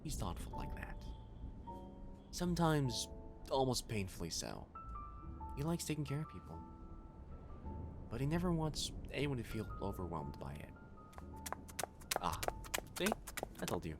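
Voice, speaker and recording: A man playing a caring, gently teasing catboy cafe waiter in a soothing ASMR roleplay. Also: mid-range voice, sing-song, unhurried, pauses often, over music. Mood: teasing, fond.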